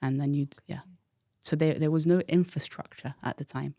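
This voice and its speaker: A woman's voice: low-pitched, steady tone, moderate pace, warm tone, no room sound, clean studio sound. A woman in her thirties from the UK, a guest telling her life story frankly and reflectively, with frequent fillers. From United Kingdom. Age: 30s. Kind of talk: candid personal interview.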